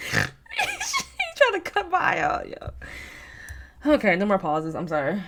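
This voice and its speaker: Female reaction host, slangy and expressive, wide pitch swings, slow pace, casual commentary.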